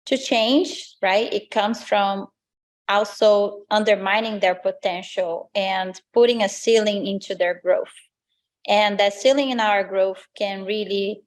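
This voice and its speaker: A woman from Brazil with a Brazilian accent, a native Portuguese speaker, answering interview questions as a lifestyle coach in an earnest, motivational way. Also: mid-range voice. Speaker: lifestyle coach, podcast guest.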